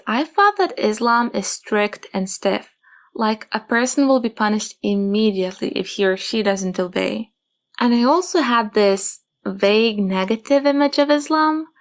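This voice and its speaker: A young woman, calmly telling her own story in a soft, measured way. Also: fairly high pitch. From Japan. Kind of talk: calm personal testimony.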